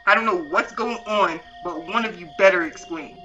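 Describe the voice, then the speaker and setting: A woman's voice: lowish voice, normal speed, bright, forceful tone, highs cut off, faint music behind. A woman acting a bossy, scolding dance coach in a voiced roleplay series, emphatic and demanding. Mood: scolding, indignant.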